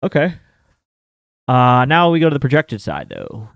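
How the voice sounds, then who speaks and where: A man's voice: mid-range voice, wildly swooping pitch, normal speed, creaky, fairly bright tone, no room sound. Rambling man, dry close mic. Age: 30s. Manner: rambling, filler-heavy, with mild swearing. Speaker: sports betting host. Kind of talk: casual chat.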